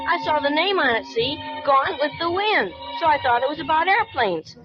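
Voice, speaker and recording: An excited high-voiced boy. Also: normal speed. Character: eager young boy building a glider. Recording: dull 1940s radio broadcast with music.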